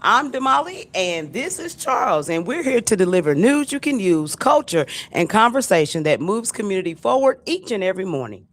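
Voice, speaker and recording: Hoarse woman co-hosting morning radio. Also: medium pitch, fairly quick, hardly any pauses. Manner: lively, chatty, laughs often. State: hoarse from allergies. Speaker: radio co-host. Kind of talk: casual morning radio chat. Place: radio studio.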